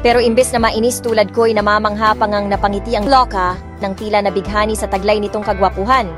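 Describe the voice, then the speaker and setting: A woman's voice: mid-range voice, steady tone, quick pace, over music. A woman narrating a romance story in the first person, reading expressively with light dramatic touches. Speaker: audiobook narrator.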